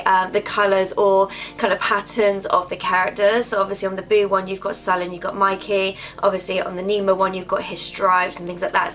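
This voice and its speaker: A woman's voice: mid-range voice, a bit bright. A woman vlogging casually, a bit nasal from a cold, chatty and rambling with fillers. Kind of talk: casual haul chat.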